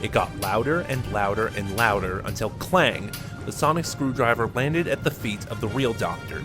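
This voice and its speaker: A man narrating a fan-made audio drama in a steady storytelling manner. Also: lowish voice, moderate pace. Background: music.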